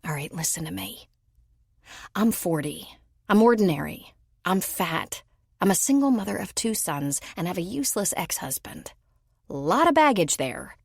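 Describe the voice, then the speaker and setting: Casting note: woman reading as a defensive forty-year-old single mom, low voice, dry close sound. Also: big pitch swings, moderate pace, vocal fry, husky, no echo, heavily acted. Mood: guarded. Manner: blunt, defensive, rising when upset.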